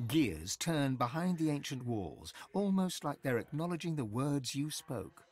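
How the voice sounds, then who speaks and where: Solemn fantasy game narrator, really expressive storybook delivery, man's voice. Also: medium pitch, a little slow. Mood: measured, mysterious.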